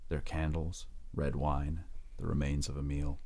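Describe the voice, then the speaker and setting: A man's voice: a bass voice, flat delivery, measured pace, creaky, mellow. A man narrating a scripted audio drama as its storyteller.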